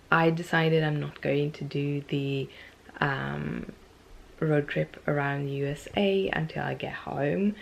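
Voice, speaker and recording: A woman's voice: sits low, vocal fry, slight background noise. A woman vlogging casually to her camera, stuffy and losing her voice, rambling with many ums and restarts. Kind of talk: casual talking-to-camera vlog.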